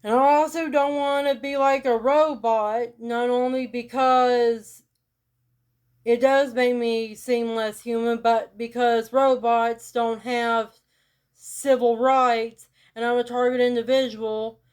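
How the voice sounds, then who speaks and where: A woman with a rural American country accent talking to camera in a blunt monologue, keeping her delivery flat and robot-like on purpose. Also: fairly high pitch, smooth. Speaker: vlogger. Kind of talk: casual direct-to-camera monologue.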